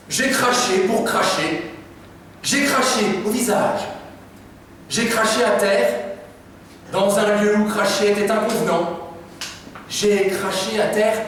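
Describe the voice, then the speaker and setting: A young man with a high voice speaks slowly and insistently, hammering out each repeated phrase. He is distant in a very echoey hall with a long reverb. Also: fairly bright tone, a little hiss or hum. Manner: slow, insistent repetition. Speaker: drama student. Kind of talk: stage recitation. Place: theatre stage.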